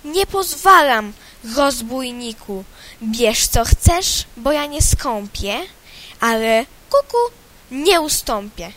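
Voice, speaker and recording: A woman voicing an indignant female cuckoo who protests loudly. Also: on the high side, unhurried, few pauses. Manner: loud, protesting.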